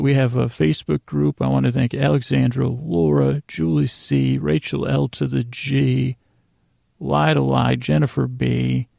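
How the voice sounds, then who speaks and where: A man hosting a bedtime podcast in a gravelly voice, rambling in a halting, self-deprecating way that trails off mid-sentence to lull listeners to sleep. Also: mid-range voice, a little mellow, dry recording, studio quality. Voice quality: gravelly, creaky. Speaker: podcast host.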